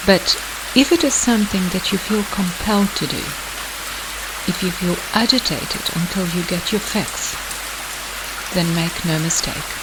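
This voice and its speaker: A meditation guide delivering scripted hypnotic narration in a measured, deliberate way with pauses. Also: medium pitch. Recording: hissy, clearly noisy background.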